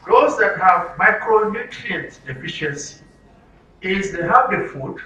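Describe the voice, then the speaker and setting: High, bright-voiced Nigerian man teaching. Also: animated, measured pace. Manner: didactic, repeats answers and phrases, quizzes listeners. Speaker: trainer leading an orientation workshop for media workers. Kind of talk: interactive lecture with questions to the audience. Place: echoey room at a live workshop. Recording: roomy echo, distant mic, faint noise.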